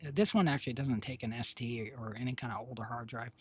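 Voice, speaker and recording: Hoarse raspy guy rambling on his stream, lots of ums, husky voice. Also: medium pitch, fairly even intonation, fast talker. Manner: rambling, many fillers and restarts. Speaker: streamer and developer. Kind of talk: casual live-stream chat.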